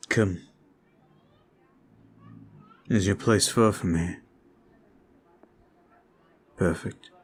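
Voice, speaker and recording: A man voicing a brooding male vampire who is weary and guilt-ridden, talking slowly and softly in an ASMR roleplay. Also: low voice, a little hiss or hum. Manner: slow, soft, confiding. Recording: faint music behind.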